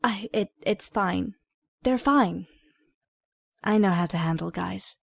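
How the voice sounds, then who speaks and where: Woman as a slow-speaking, hesitant teenage girl. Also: medium pitch, gravelly, no echo, clean studio sound. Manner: hesitant, questioning. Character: reserved teenage girl, new student. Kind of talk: audio drama acting.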